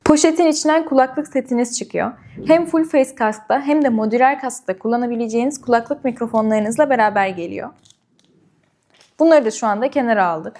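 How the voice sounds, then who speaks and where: A woman's voice: a little high, a little fast, a little mellow. A woman presenting a product review and unboxing, explaining step by step and addressing viewers directly.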